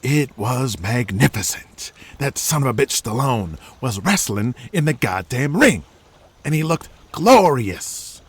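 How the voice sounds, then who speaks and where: A man voicing a washed-up, cocky, excitable little movie star in an audio drama, brash and pleading like a wise guy. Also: mid-range voice, a little slow, husky. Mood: indignant, excited. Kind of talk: comic audio drama acting.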